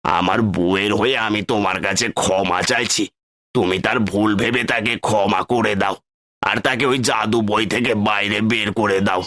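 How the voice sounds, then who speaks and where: A man's voice: on the low side, steady tone, moderate pace, pushed and bright. A man voicing an honest young village husband in a cartoon story, speaking in an anxious, earnest way.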